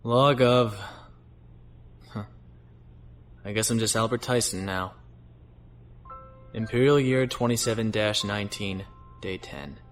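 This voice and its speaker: A man's voice: lowish voice, slow pace, pauses often, soft background music. A man playing a weary, principled starship captain in a science fiction audio drama, reflective and earnest.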